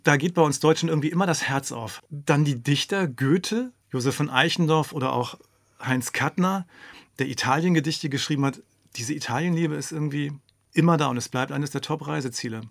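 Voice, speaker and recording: A man's voice: on the high side, moderate pace, gravelly, fairly bright tone, dry recording, studio quality. A man hosting a podcast interview, chatty and curious, asking his guest leading questions. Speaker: podcast host. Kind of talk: conversational interview.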